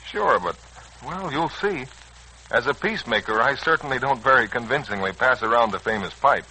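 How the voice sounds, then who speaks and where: Young son in an old 40s radio serial, raspy forceful voice, plain-spoken, doubtful, kinda resigned. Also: male voice, mid-range voice, animated, normal speed, full character voice, rough recording. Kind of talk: 1940s radio serial acting. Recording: old 1940s radio recording.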